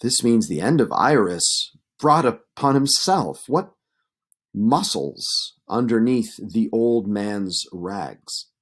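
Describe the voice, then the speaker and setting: A man's voice: on the low side, animated, slow pace, husky, full character voice. A man voicing rowdy, scornful young suitors exclaiming in an epic read aloud. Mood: astonished. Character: crowd of rowdy young suitors, astonished, gleeful.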